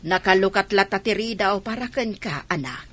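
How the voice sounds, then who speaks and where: Woman acting a husky old village grandmother. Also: medium pitch, expressive, normal speed, close to an everyday voice. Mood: tender. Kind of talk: Ilocano radio drama acting.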